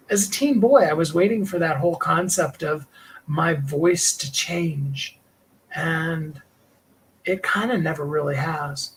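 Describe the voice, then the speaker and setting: Man, middle-aged, light tenor voice, a touch high for a man, rambling heartfelt livestream chat, faint room ambience. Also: fairly bright tone, a little hiss or hum, poor audio. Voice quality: higher-pitched tenor voice. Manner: rambling and heartfelt, with frequent "you know" and small laughs. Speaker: livestream host and singer. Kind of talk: reflective personal livestream talk.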